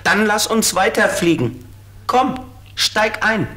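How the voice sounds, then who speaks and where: A man's voice: sits high, animated, slow, sharp tone, exaggerated voice. A man playing a friendly, helpful little flying creature in a children's radio play, with a simple, good-natured manner.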